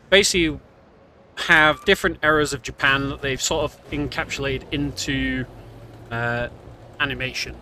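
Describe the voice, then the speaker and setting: A man's voice: a little high, bright, forceful tone, slight background noise. A man giving casual, chatty live commentary on a game he is speedrunning, explaining things as he goes. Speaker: speedrunner commentating his own run.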